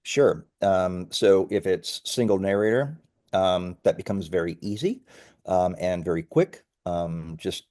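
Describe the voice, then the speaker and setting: Guy guest on an interview, deepish mellow voice, explains stuff emphatically and corrects himself as he goes. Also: expressive, a little slow. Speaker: company founder, interview guest.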